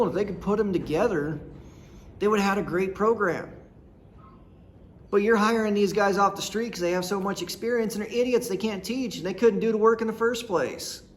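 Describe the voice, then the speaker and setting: A man's voice: high voice, slight background noise. A man in his thirties from rural Nebraska, telling personal stories in a blunt, folksy, rambling way. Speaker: storyteller host. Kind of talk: casual personal storytelling.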